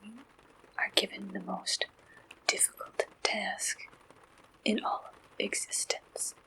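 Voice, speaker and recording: A woman performs an ASMR roleplay as an attentive matchmaker. She speaks in a bright, crisp-edged whisper with very little movement in pitch. Her delivery is very slow and deliberate, laid out in short, breathy phrases. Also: little pitch movement, low-quality recording. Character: attentive female matchmaker at a werewolf dating service.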